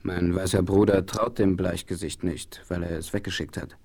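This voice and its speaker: An actor playing a proud, solemn and wise chief in a German audio drama, speaking in formal, measured phrases. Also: male voice, low-pitched, fairly even intonation, creaky, a little mellow, husky, exaggerated voice. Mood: watchful, dignified. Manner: formal, measured, speaks of himself in third person.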